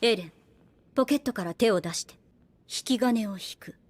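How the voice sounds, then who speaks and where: An actress playing a shrewd young woman soldier in an anime drama, composed, unhurried and coolly persuasive with a soft teasing edge. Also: mid-range voice, close to an everyday voice. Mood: cool threat. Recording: background music under the voice. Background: music.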